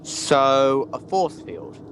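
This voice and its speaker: An actor playing a stern, grudging chief in an audio drama, blunt and used to giving orders. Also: male voice, mid-range voice, very animated, very slow, fairly bright tone, slight background noise, full character voice, poor audio. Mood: grudging, commanding. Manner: commanding, blunt.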